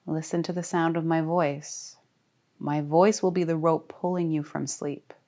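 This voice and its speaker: A woman leading a guided relaxation as a yoga teacher, speaking calmly and soothingly with deliberate pauses. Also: deep voice. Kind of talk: guided meditation.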